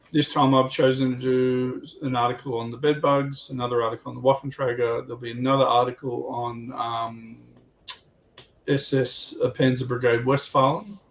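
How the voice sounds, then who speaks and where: A man's voice: medium pitch, normal speed, poor audio. A man chatting casually as a contributor on a hobby livestream. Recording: steady faint background noise.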